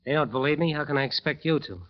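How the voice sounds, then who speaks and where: An actor playing a desperate, wasted jazz bandleader in a 1940s radio drama, weary, intense and halting. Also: male voice, a little high, natural voice. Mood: bitter despair. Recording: muffled old-time radio recording.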